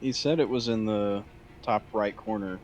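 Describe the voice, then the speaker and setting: A man's voice: normal speed, a little mellow, lo-fi sound. An American man chatting casually as a companion on a game livestream. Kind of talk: casual chat. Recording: noisy background with low rumble.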